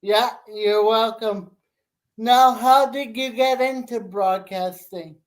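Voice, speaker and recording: A young man hosting a sports interview, reading prepared questions in a careful, deliberate way. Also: high voice, steady tone.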